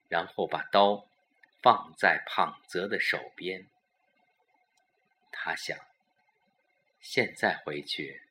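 A man's voice: on the low side, bright, forceful tone. A man narrating a suspense audio story in a dramatic storytelling style.

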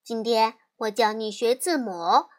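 A woman's voice: a little high, measured pace, natural voice. A woman voicing a bossy, boastful child who plays the teacher and gives orders. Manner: bossy, commanding.

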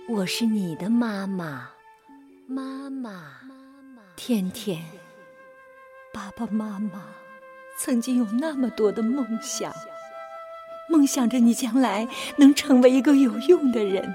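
A woman's voice: fairly high pitch, animated, slow, long pauses, over music. An actress playing a devoted, long-suffering middle-aged mother in a radio drama, with a tender, emotive, pleading delivery. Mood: patient coaxing, then sorrowful resolve.

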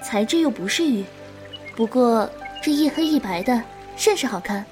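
Wuxia audio drama: gentle, bashful young woman disciple voiced by an actress, high soft register, music bed. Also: expressive, normal speed, natural voice. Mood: gentle, patient. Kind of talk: Chinese wuxia radio drama acting.

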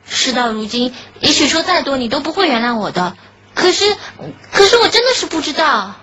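Casting: woman playing sweet girlish schoolgirl friend, very high lively pitch, emotive radio drama style. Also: a little fast, full character voice. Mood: apologetic. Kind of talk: Chinese radio drama acting. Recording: dull lo-fi audio under clear music.

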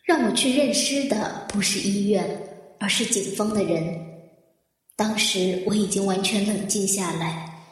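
A woman playing an injured fire survivor in an audio drama, with a dramatic, deliberate delivery. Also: medium pitch, lightly acted. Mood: cool, brooding. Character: injured woman fire survivor, anguished then coolly guarded, narrating her ordeal. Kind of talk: Chinese audio drama acting with introspective narration. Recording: echoey hall-like reverb, music underneath.

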